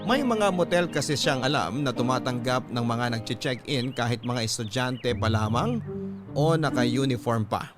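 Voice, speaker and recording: A Filipino man tells a love story in the manner of a radio host reading a letter. His voice is medium in pitch, with measured, dramatic phrasing and pauses. Loud music plays behind him throughout. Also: animated. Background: prominent music.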